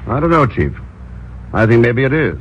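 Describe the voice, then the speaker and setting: Um ator interpretando um agente secreto sereno e irônico, em drama de rádio dos anos 1950. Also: male voice, mid-range voice, very animated, normal speed, a little hiss or hum, close to an everyday voice. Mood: persuasive. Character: suave male secret agent, calm and dry. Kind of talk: 1950s radio acting. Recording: dull old radio broadcast, a bit distant.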